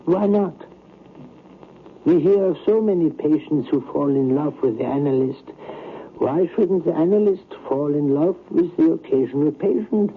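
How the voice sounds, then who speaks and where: A placating, condescending male psychoanalyst played by an actor in a vintage radio play. His voice is creaky and measured, soothing yet dismissive, on a tinny recording with faint noise. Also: on the high side, lightly acted. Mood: patronizing, placating. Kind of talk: old-time radio drama acting.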